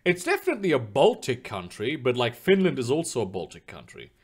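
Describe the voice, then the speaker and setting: A man's voice: mid-range voice, wildly swooping pitch, a little fast, darkish tone. A young man streaming live, chatting loosely with his viewers in a rambling way, full of fillers and casual swearing. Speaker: streamer reading chat.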